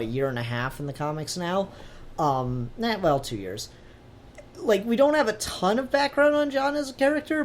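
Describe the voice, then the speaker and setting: A man's voice: on the high side, slight background noise. Man in casual, hesitant review chat. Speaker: podcast co-host. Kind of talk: casual comic book review discussion.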